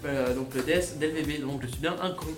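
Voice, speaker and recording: A young man co-hosts a student radio show, talking eagerly and rambling with lots of fillers. His voice sits a little high. The room is echoey and music plays underneath. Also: a little fast. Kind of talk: casual radio chat.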